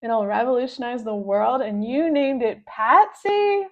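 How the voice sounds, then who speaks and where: Storybook boy prankster, played by a woman, high-voiced, excitable, cheeky and full of questions, slightly muffled recording. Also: measured pace. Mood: doubtful. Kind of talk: children's storybook read-aloud.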